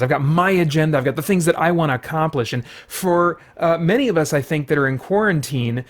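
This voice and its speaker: A man with a fairly high-pitched voice hosts a casual gameplay show. He talks conversationally, musing and thinking aloud as he goes. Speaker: gamer, host of a faith and geek culture show.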